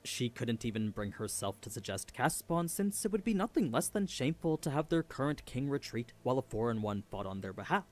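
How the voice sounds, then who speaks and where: A man narrates a scripted story summary in a mid-pitched voice. His intonation moves up and down a lot, giving the recap an animated, storytelling shape. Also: a little fast, hardly any pauses.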